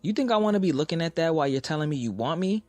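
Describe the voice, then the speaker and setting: A man's voice: fairly high pitch, lively intonation, racing, a little mellow, no room sound, natural voice. A man acting a blunt, candid lover in a naturalistic audio drama about a troubled romance. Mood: frustrated, blunt. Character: blunt, candid lover frustrated with married girlfriend. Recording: music behind the voice.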